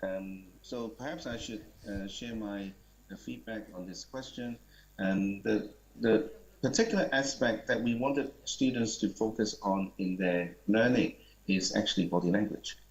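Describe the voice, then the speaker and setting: A man answering audience questions at a seminar in a polite, measured, explanatory way. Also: on the low side. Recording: muffled, dull sound.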